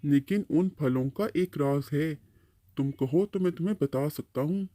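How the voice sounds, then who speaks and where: A man's voice: on the high side, moderate pace, dark tone, no room sound, lightly acted. A man playing a kindly uncle tree in a bird cartoon, patiently explaining and advising. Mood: inviting. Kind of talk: Hindi-Urdu cartoon storytelling dialogue.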